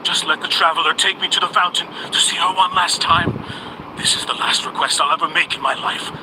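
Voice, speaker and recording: An actor playing a desperate, obsessive middle-aged convict in a dramatic video game, pleading breathlessly over background noise. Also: male voice, fairly high pitch, a little fast, lightly acted.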